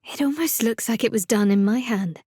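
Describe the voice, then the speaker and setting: Wry guarded young cleric lady for a video game, kinda high voice, sardonic n clipped, really dry recording. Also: normal speed, dark tone, husky, no echo. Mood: sombre.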